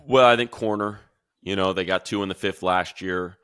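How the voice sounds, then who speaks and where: A man's voice: low-pitched, fairly even intonation, normal speed, a bit bright, clean tone, no room sound, clean studio sound. A man giving casual, opinionated football draft commentary as a radio analyst, talking conversationally and thinking aloud. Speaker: sports radio announcer and analyst.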